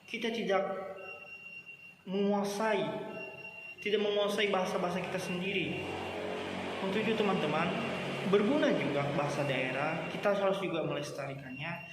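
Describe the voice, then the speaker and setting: A young man from gives an earnest, halting student speech, repeating phrases and addressing his listeners directly. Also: high-pitched. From Nias, Indonesia. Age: young adult. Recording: very echoey room, clear background noise.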